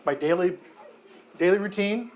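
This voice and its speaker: A man's voice: high voice, normal speed, darkish tone. An American man in his fifties, a mayor, answering a classroom of children's questions in a friendly, chatty way with frequent ums and self-corrections. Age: 50s. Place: elementary school classroom.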